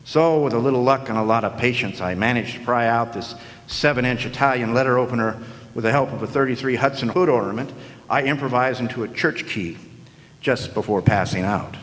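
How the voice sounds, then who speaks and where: A man's voice: medium pitch, fairly quick, natural voice. Man playing a wisecracking hard-boiled private eye, echoey hall. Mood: wry narration. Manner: deadpan, wry, slangy. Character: wisecracking hard-boiled male private detective narrating his case. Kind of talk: noir radio drama narration and dialogue. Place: large hall, live stage performance. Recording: echoey hall, live stage performance.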